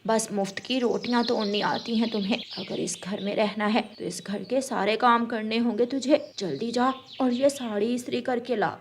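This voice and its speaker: A woman's voice: a little high, normal speed, hardly any pauses, a little hiss or hum, lightly acted. Woman voicing a scolding bird mother-in-law. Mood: scornful. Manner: taunting, sharp-tongued.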